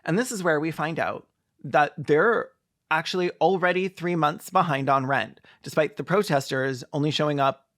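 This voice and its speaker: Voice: medium pitch, moderate pace, fairly bright tone. A man giving sarcastic, mocking commentary as the host of a film review, with casual swearing.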